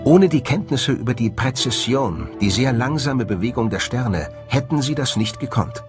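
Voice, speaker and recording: A man delivers scripted documentary narration with lively, wide-moving intonation. His delivery is measured and explanatory, and music plays clearly underneath his voice. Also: mid-range voice.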